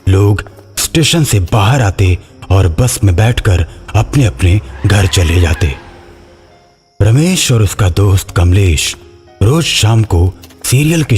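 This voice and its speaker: A man's voice: lowish voice, darkish tone. A man reading a scripted horror story as its narrator.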